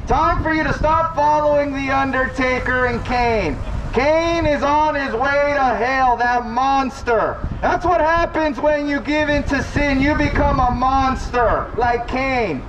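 A man preaching loudly outdoors to a street crowd, condemning and repetitive in manner. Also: very high-pitched, a little slow. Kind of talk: open-air preaching to passing crowds. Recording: distant, muffled outdoor recording with street noise.

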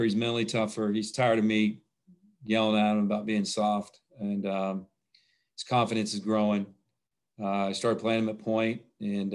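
A middle-aged man, a basketball coach, talking plainly over a video call at a postgame press conference, often restarting his phrases. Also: fairly low pitch. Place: indoors in front of a logo backdrop. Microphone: video call webcam.

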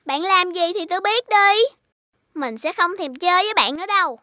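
Tiny, bright cartoon schoolkid, earnest peacemaker with a piping high voice. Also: female voice, animated, normal speed, heavily acted. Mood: huffy. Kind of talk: children's cartoon dubbing. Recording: faint music behind the voice.

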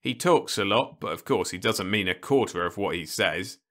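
A man's voice: lowish voice, expressive, moderate pace, fairly bright tone. A man voicing a sly, burly uncle who probes with leading questions, in a storybook reading. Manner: sly, probing. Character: burly, sly, hairy middle-aged uncle.

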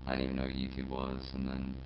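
A man's voice: extremely low pitch, fast talker. A man's voice turned robotic and synthetic by a robot filter, talking in an interview. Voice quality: robotic, synthetic, monotone timbre. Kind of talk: interview about a viral video. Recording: muffled, noisy, echoing audio with hum.

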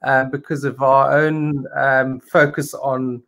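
A man in his forties answers questions over a video call from a book-lined study. His voice is mid-pitched and ordinary. He speaks in a friendly, halting way, filling gaps with ums. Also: flat delivery, a bit bright. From South Africa. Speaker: wine producer guest. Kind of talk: interview answers. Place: home study with bookshelves.

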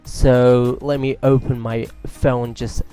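A man's voice: mid-range voice, level tone, slow pace, dark tone, soft background music. Man with narrating tutorial. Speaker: tech tutorial host.

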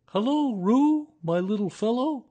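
A man voicing a gentle, simple-minded bear in a storybook reading, hesitant and earnest. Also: very high-pitched, lively intonation, dull audio, voice pushed up, heavily acted. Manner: hesitant, earnest, slow-spoken.

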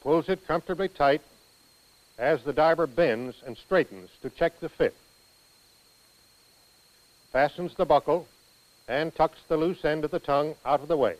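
An American man reading scripted instructional narration for a training film in a precise, measured manner. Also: a little high, poor audio.

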